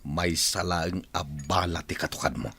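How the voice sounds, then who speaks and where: A man playing a menacing, husky-voiced boss in a radio drama. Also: low-pitched, fairly even intonation, moderate pace, bright, forceful tone, slight background noise. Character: menacing, gun-wielding male boss.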